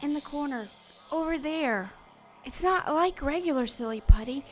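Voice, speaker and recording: A woman playing a frightened, insistent little orphan girl in an audio drama, childlike, earnest and halting. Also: high voice, expressive.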